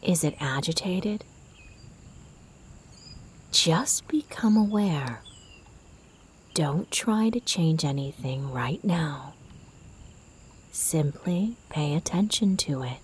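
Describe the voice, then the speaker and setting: A woman guiding a sleep meditation in a soft, breathy, half-whispered voice, soothing and gentle in her delivery. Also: deep voice, expressive, slow, long pauses, slight background noise. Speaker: meditation guide. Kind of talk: guided sleep meditation narration.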